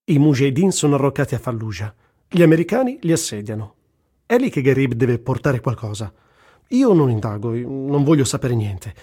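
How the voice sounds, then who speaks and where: An actor playing a wry, indignant male war journalist in an expressive, sardonic radio theatre reading of a diary. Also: mid-range voice, husky.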